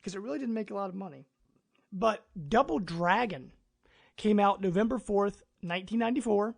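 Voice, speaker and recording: A man's voice: sits high, brisk, creaky. A man, around his thirties, hosting a casual podcast discussion in a chatty, emphatic way. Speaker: podcast host.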